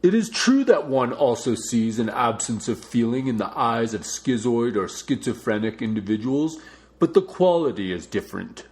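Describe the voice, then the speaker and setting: A man reading a non-fiction book aloud as a narrator, with measured, deliberate phrasing. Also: mid-range voice, sing-song, darkish tone. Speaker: audiobook reader.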